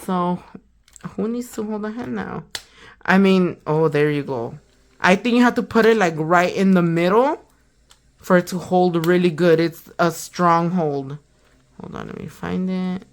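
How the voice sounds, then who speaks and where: Slow, low-voiced woman chatting hoarsely. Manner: chatty, slangy, trailing off to a whisper. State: slightly hoarse from a sore throat. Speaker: nail channel host. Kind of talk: casual product unboxing chat.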